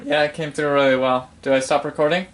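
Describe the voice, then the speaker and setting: A man's voice: a little high, fairly even intonation, fast. A man helping with a radio test, giving brief casual replies over slightly noisy, tinny audio. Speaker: test helper answering radio checks.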